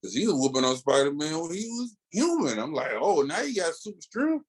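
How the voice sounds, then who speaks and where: A man with an American accent chatting casually as a podcast co-host, telling things in a slangy, animated, halting way. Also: fairly high pitch, husky. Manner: slangy, animated storytelling with many filler pauses. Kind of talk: casual chat.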